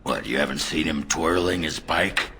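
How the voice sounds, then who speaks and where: An actor playing a crude, boozy, middle-aged dwarf warrior in a fantasy game, blunt and teasing, with a gruff growl. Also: male voice, normal speed, sharp tone, heavily acted. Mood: gleeful mockery. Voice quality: very deep gravelly growl. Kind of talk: fantasy video game voice acting.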